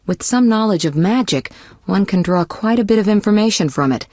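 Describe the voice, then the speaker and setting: A woman playing a poised, worldly young sorceress in a video game, caring but teasing and possessive, with measured, articulate delivery. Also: medium pitch, animated, a little mellow, no echo, clean studio sound, lightly acted. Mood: serious, instructive. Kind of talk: scripted video game voice acting.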